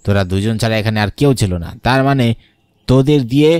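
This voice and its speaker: A man's voice: mid-range voice, fairly quick, a little mellow, clear, resonant tone, close to an everyday voice. An actor playing a suspicious, bullying landowner in a horror cartoon, scolding and accusing his workers. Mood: angry accusing. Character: suspicious, bullying male landowner and farm master. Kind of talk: dramatised horror cartoon dialogue. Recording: music bed under the voice.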